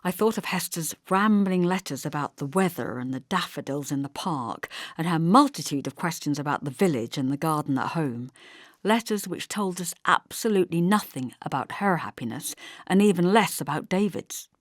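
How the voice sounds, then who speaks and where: A woman's voice: sits low, expressive, normal speed, dry recording, clean studio sound. A woman reading scripted first-person literary narration as an audiobook narrator.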